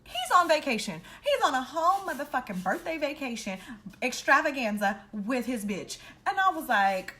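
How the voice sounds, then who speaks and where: A woman casually telling a personal story in an animated, slangy, heavily profane way, acting out the dialogue as she goes. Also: high voice, normal speed. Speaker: storytime vlogger.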